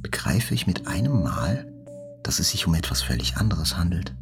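An actor playing a reflective, haunted journalist, narrating a literary audio drama in a deliberate, introspective manner. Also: male voice, deep voice, sing-song, faint music behind. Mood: hushed dread. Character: reflective, haunted male journalist recounting an attack he survived.

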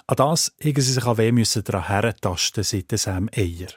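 A man with a Swiss German accent narrating a scripted radio feature as its presenter, with a husky voice. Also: lowish voice, normal speed, no room sound, clean studio sound. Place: dry studio.

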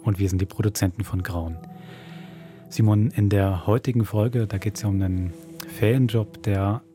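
A man's voice: on the low side, steady tone, normal speed, dark tone, faint music behind. A man hosting a podcast in casual, chatty conversation. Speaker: podcast host and producer. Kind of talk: casual chat.

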